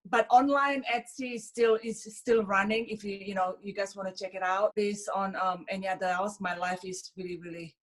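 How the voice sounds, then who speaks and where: A woman's voice: medium pitch, fairly even intonation, normal speed, hardly any pauses. Chatty woman from Indonesia. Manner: chatty, many fillers like "you know" and "like". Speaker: fashion influencer, interview guest. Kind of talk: casual interview answers.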